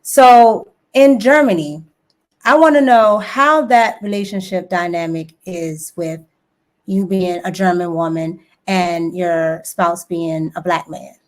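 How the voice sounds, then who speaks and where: American woman host, slightly low voice, probing and thoughtful. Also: takes it slowly. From United States. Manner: thoughtful, probing questions with "right?" tags. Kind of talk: interview questions.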